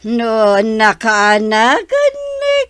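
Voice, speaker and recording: A woman acting in an audio drama, talking slowly with frequent exclamations and sighs. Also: on the high side, huge pitch swings, fairly bright tone, clear, resonant tone, no room sound, studio quality.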